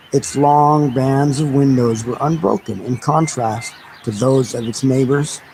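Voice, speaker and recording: A man reading a novel aloud in a dramatic, deliberate, measured style with pauses. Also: mid-range voice, a little mellow. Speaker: audiobook reader. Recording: noisy recording with background ambience. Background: background ambience sounds.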